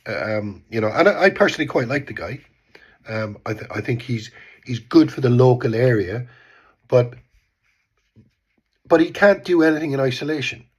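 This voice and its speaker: A man's voice: on the low side, husky. Congested Irish man in his fifties. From Ireland. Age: 50s. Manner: rambling, repeats words, says "type thing". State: congested from a cold. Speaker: vlogger. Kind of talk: casual political commentary. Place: home in Bristol.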